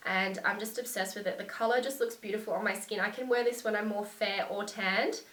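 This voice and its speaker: A woman's voice: mid-range voice, steady tone, a little fast, fairly bright tone, distant mic. A young woman with a congested, nasal voice from a cold, gushing about beauty products in a casual vlog. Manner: gushing, enthusiastic. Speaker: beauty vlogger. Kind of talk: casual product review to camera. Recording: echoey room, a bit off mic.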